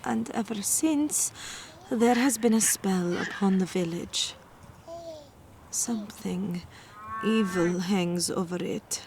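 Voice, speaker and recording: Slow-speaking, gentle village mother. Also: mid-range voice, gravelly, slight background noise. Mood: foreboding, hushed. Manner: formal, hesitant, grave. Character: wary, gentle young village mother in ancient Bethlehem. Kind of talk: audio drama acting.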